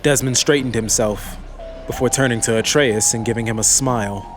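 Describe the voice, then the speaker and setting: A man narrates a fantasy audiobook in a voice of average pitch. It is scripted storytelling, set over clearly audible music. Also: moderate pace.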